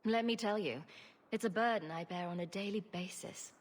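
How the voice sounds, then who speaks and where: A woman's voice: medium pitch, moderate pace, husky, in a large hall, lightly acted. A woman voicing a self-assured, dryly sardonic heroine in a video game. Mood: wry, composed. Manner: dry, sardonic. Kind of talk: video game dialogue acting.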